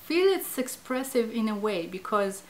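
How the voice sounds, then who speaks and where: A woman in her thirties from Iran with a Persian accent, a native Farsi speaker, talking reflectively to camera in a small indoor studio and often pausing to search for words. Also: a little high, big pitch swings, darkish tone, slight background noise. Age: 30s. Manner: hesitant, searching for words, many fillers. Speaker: interviewee, language project participant. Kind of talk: reflective interview talk to camera.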